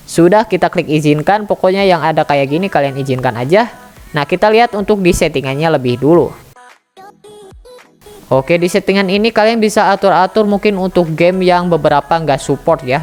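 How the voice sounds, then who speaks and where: A woman's voice: fairly low pitch, moderate pace, a little mellow, faint music behind. A woman giving a casual, chatty step-by-step tutorial, talking straight to her viewers. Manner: chatty, addresses viewers directly. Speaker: tutorial channel admin.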